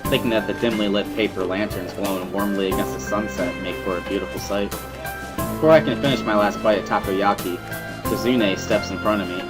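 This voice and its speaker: A man's voice: fairly low pitch, lightly acted. A man playing a reflective, easygoing schoolboy who narrates a dramatic reading in the first person. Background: music.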